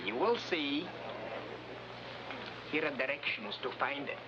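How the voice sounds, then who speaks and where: An actress playing a secretive foreign messenger speaking broken English in a vintage TV adventure. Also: female voice, a bass voice, animated, unhurried, over loud music. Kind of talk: 1950s TV adventure acting. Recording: muffled old TV soundtrack with noise.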